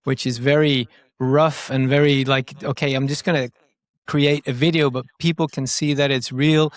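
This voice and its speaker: A man's voice: mid-range voice, flat delivery, normal speed, no echo. Guy being interviewed, casual and explanatory, doubles up on words, dry close sound. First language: Norwegian is not native; speaks it brokenly. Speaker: digital growth marketer, podcast guest.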